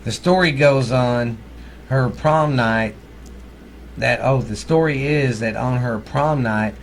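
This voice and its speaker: A man's voice: medium pitch, slow pace, a little hiss or hum. A middle-aged man from Texas with a Texan accent, chatting casually as a podcast co-host in a blunt, folksy, profane way. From Texas, United States. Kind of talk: casual ghost-story chat.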